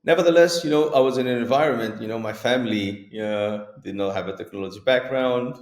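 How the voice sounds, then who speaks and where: A man in his forties from Greece, a guest in a casual video-call interview, talking in a relaxed way, chuckling now and then, with many fillers. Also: medium pitch, fairly quick, reverberant.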